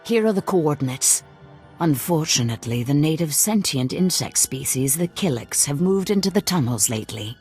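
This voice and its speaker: An actress voicing a wary, stubborn, lovelorn middle-aged woman in a dramatic video game scene, with a husky voice. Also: deep voice, animated, normal speed, darkish tone, soft background music. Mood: wary, hesitant.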